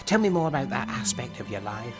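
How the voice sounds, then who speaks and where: A man plays an enigmatic, probing therapist in a dramatic audio drama. His mid-pitched voice is measured, formal and analytical, with music clearly audible behind it. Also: sing-song, lightly acted. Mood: sympathetic. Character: probing, enigmatic male therapist to an alien patient. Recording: music under the voice.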